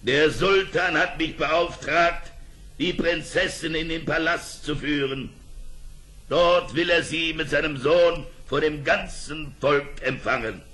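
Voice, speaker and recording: An actor playing a vengeful, scheming magician in a fairy-tale audio play, gloating and menacing. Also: male voice, fairly high pitch, slow, pushed and bright. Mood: feigned politeness. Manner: gloating, menacing, cackling delivery.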